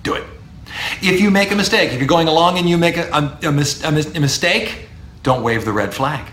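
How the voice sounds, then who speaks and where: A middle-aged man with a baritone voice, lecturing as a speech professor on public speaking, animated and emphatic with low, punchy asides. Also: fairly quick, echoey room, a little hiss or hum.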